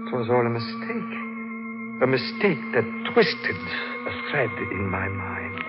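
An actor playing a deranged, menacing killer in a 1940s radio mystery, halting and melodramatic, repeating his words. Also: lowish voice. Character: deranged male killer, confessing, brooding and menacing. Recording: muffled old radio broadcast with music.

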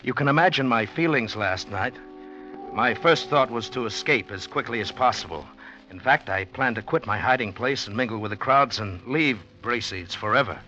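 An actor in 1940s radio drama playing an anxious, earnest gentleman, a bewildered newcomer who speaks haltingly and intensely. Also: medium pitch, faint music behind, close to an everyday voice. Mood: tense, brooding. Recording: dull old 1940s radio recording.

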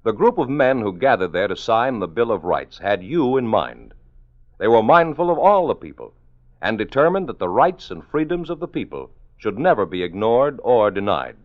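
A man giving formal scripted narration for a 1950s radio police drama, measured and even. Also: lowish voice. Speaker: announcer and narrator. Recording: muffled 1950s radio broadcast.

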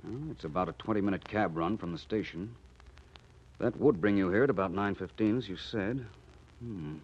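An American man playing a suave, inquisitive gentleman detective in a 1940s radio drama, urbane and coolly probing. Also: lowish voice, normal speed, close to an everyday voice. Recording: muffled 1940s radio recording.

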